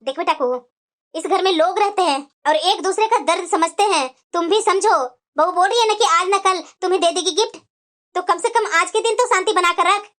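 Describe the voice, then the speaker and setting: A woman's voice: extremely high pitch, a little fast, fairly bright tone. A woman voicing an older mother-in-law in a family cartoon drama, reasoning and admonishing as a firm peacemaker. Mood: firm, appeasing. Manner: admonishing, coaxing. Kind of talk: Hindi family drama storytelling.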